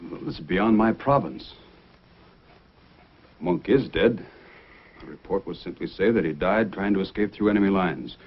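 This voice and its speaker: Old-time radio drama: stern, humane police sergeant of the North. Deep, grave, measured delivery, muffled vintage sound. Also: male voice, slightly rough. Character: stern, compassionate Mountie sergeant of the Yukon. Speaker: radio actor. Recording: dull old radio recording.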